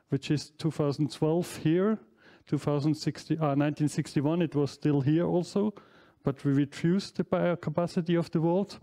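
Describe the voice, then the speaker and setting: A non-native English-speaking man in his forties lectures through a headset mic in a big hall. His voice sits a little high but sounds dark and mellow. He talks through his slides with fillers and small self-corrections. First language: not English. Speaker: professor giving an inaugural lecture. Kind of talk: academic lecture.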